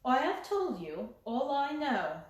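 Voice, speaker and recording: In a very echoey room, a woman reader plays a proud young widow from a storybook. The voice is fairly high, terse and guarded, keeping its defences up. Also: big pitch swings, slow pace, exaggerated voice. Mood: resolute, guarded.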